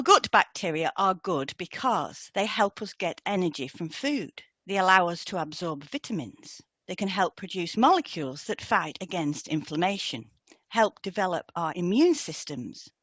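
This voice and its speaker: A British woman presents a scripted educational podcast in a fairly low voice. She explains things in a measured, orderly way. The sound is very dry, with hardly any room to it. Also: lively intonation, a bit bright, no room sound. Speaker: podcast co-host and hypnotherapist.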